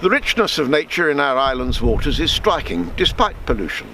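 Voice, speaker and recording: An older man reading a true sea tale aloud as a storyteller, measured, sometimes stumbling and repeating words. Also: a little high, sing-song, sharp tone, a little hiss or hum, poor audio. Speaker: storyteller reading his book.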